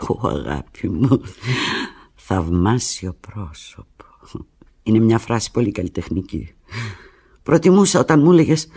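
A husky, desperate lovelorn woman. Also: very deep voice, heavily acted. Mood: bittersweet. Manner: halting, emotional, swinging from hushed murmurs to urgent cries. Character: desperate, lovelorn woman abandoned by her lover, on the telephone. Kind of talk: theatrical radio drama monologue.

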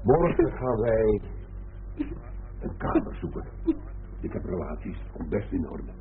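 Radio drama father, middle-aged: low-ish, swooping delivery, wry, breezy teasing. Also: big pitch swings, unhurried. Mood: jovial reassurance. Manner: wry, bantering. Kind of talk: Dutch radio play acting. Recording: muffled phone-like old radio recording, hissy.

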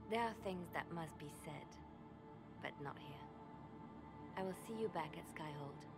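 A woman acting an earnest, conscience-torn spymaster with a measured, solemn delivery in a video game. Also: sits low, expressive. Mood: grateful. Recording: music behind the voice.

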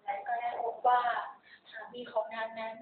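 Teenage female storyteller, high voice, dramatic scripted narration, echoey room, faint noise. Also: monotone, normal speed. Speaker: student storytelling contestant. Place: school competition room. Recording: distant, phone-like, echoey hall with noise.

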